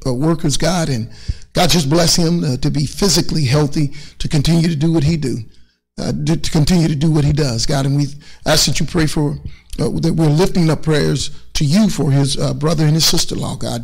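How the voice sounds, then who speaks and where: A middle-aged man, a church minister, speaking in a halting, earnest way as he addresses his congregation and prays. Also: on the high side, creaky, rough recording. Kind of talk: closing announcements and prayer. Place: indoors in an empty church sanctuary.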